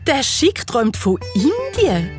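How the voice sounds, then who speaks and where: A woman's voice: high voice, huge pitch swings, slow pace, darkish tone, soft background music, heavily acted. A woman playing a wry, playful narrator, telling a scripted children's detective story in German. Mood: wry, dramatic.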